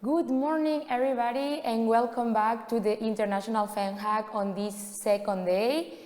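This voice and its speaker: A woman opens a conference with a brisk, welcoming delivery in a fairly high voice. Her voice trails a long hall reverb. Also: fairly even intonation, a little mellow. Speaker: event host. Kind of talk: hosting a conference.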